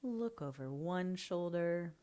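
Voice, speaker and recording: A woman guides a breathing meditation in a low, gentle voice. She talks very slowly and leaves long pauses. Many phrases trail off into a soft half-whisper. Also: lively intonation. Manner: slow, soft and soothing, often half-whispered.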